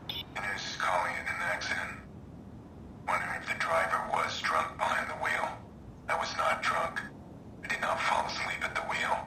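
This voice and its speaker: Brooding game vigilante, late thirties, very low breathy voice, terse and grim, echoey recording. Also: male voice, sharp tone, distant mic, slight background noise, heavily acted. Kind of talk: scripted video game audio log.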